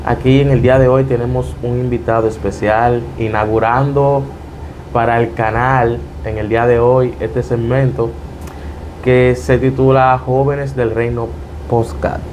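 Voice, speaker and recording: A man's voice: mid-range voice, level tone, unhurried, darkish tone, a little hiss or hum, poor audio. A man, hosting a religious interview podcast in a devout manner. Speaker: podcast host.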